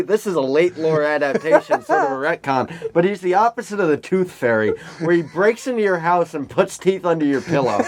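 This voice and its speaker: A man co-hosting a podcast in casual banter, rambling along in a joking, profane way. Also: a little high. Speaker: podcast co-host.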